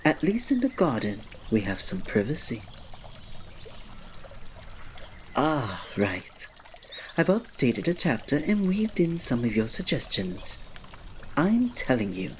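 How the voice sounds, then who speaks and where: A man plays a devoted male servant to royalty in an ASMR drama. He speaks slowly and politely, with a mid-pitched voice that moves expressively while staying formal and restrained. Noticeable background noise like trickling water sits behind him. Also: sing-song. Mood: reserved, weary sympathy. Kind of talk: ASMR roleplay, scripted drama.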